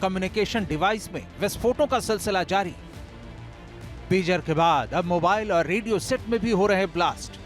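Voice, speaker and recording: High-pitched man narrating dramatic news reports. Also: normal speed, faint music behind. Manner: emphatic, dramatic delivery. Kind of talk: scripted dramatic news voice-over.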